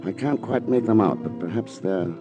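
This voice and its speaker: An actor playing a suave, wistful, teasing painter in a 1940s radio romantic comedy, with a wry, hesitant delivery. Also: male voice, lowish voice, expressive, normal speed, natural voice. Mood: tender, regretful. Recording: dull vintage radio recording, music behind.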